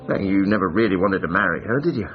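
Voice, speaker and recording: A suave Edwardian gentleman adventurer with an English accent. His delivery swings widely and stays wry and urbane, in period radio-drama style. The sound is slightly dull. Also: sits low, animated, fast talker. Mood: breezy consoling. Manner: urbane, wry, formal old-fashioned phrasing. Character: masked Edwardian gentleman adventurer, suave, breezy, self-regarding. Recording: dull, band-limited broadcast audio.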